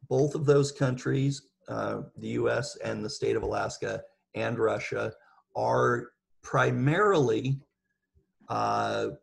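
A man giving an informal expert talk over a video call, explaining things in a halting, measured way. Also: mid-range voice, gravelly. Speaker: guest speaker, seafood industry professional.

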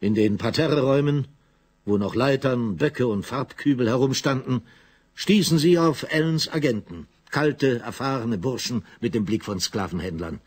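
A man narrating a scripted German radio drama in a measured, literary storytelling manner. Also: medium pitch, a little mellow, breathy edge.

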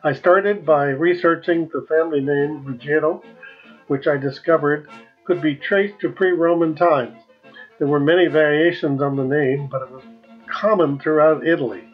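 Older american guy in his 70s doing travel vlog narration, slightly high voice, faint music. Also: fairly even intonation, moderate pace, breathy edge, dull audio. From United States.

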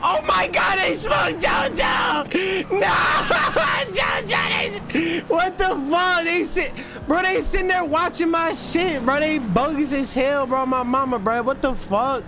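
A man streaming an online role-play game, bantering casually in excitable, profane slang. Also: very high voice, slow pace, creaky, hardly any pauses, shouting, over loud music. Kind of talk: casual banter while gaming.

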